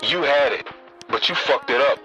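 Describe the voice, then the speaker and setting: A man's voice: mid-range voice, moderate pace, sharp tone, soft background music, close to an everyday voice, rough recording. A man playing a jealous, guarded young ex-boyfriend in an audio drama, speaking in a blunt, curt, slangy way. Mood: guarded, irritated.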